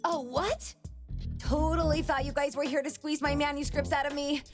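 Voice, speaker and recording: An actress plays a reclusive woman manga artist from a video game. She talks in a dramatic, exclamatory way, excitable and quick to mock herself. Music plays clearly behind her. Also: sits high, natural voice. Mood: flustered, indignant.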